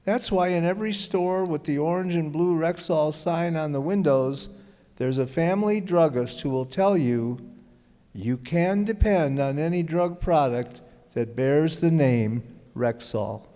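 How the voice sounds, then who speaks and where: Guy playing a folksy reassuring druggist explaining things in an old radio ad, soft mellow tone, echoey hall. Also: on the high side, measured pace, close to an everyday voice. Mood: earnest, explanatory. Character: earnest, reassuring male family druggist. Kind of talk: old-time radio advertisement, scripted. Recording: reverberant hall stage recording.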